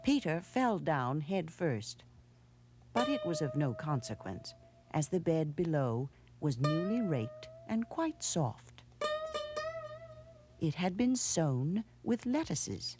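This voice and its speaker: A woman reading a children's storybook in a measured, expressive storytelling manner. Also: deep voice, over music. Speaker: storybook narrator. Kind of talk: scripted children's storybook narration.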